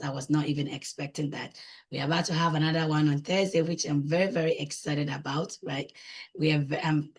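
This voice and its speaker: A woman with a Ghanaian accent and a husky voice, talking conversationally as an interview guest and often checking in with little tag questions. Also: low-pitched. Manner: frequently tags phrases with "right?". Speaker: guest, coaching client and business owner.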